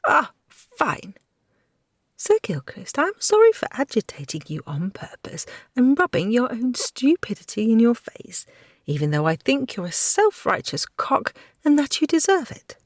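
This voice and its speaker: A woman's voice: high-pitched, huge pitch swings, measured pace, no room sound, clean studio sound, pitched above the performer's own voice, heavily acted. A reader voicing a sarcastic, rude young woman with a mocking, flippant delivery, in a storybook reading. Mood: scornful.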